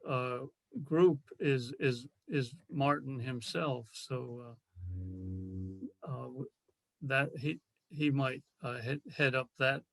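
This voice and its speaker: A man speaking informally and haltingly in an online club meeting, often repeating his words as he makes a suggestion. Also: mid-range voice, gravelly, fairly bright tone, no echo, rough recording. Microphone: video call.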